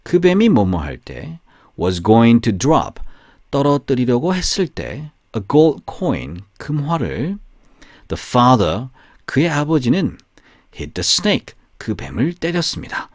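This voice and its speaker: A man narrating a scripted bilingual fairy tale for language learners, deliberately, phrase by phrase, in a storytelling delivery. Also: medium pitch, huge pitch swings, no echo, studio quality. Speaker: audiobook narrator.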